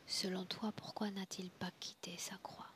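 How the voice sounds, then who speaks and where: A woman guiding a trance session in a solemn, deliberate, commanding way, often dropping to a breathy hush. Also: mid-range voice, little pitch movement, whispered. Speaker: spiritual hypnosis session guide. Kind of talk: guided trance session, slow solemn address.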